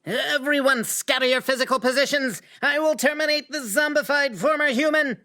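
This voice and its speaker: Brief: man plays robotically formal oddball, very high-pitched, bright and forceful. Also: moderate pace, heavily acted. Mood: commanding. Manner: stilted, over-formal wording. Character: stilted, robotically formal eccentric wielding a hammer. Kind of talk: comedic radio play acting.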